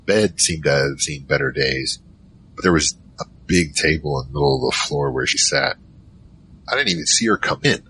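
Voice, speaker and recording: Man playing naive young storyteller, very deep, bright. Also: creaky, a little hiss or hum, exaggerated voice, rough recording. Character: naive young man Michael recounting his adventures. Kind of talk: audio drama first-person narration.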